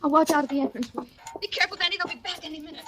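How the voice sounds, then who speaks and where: A woman's voice: extremely high pitch, racing, a bit bright. An actress playing a frightened woman in love with a fugitive, pleading anxiously in 1940s radio thriller style on a noisy old recording. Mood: alarmed.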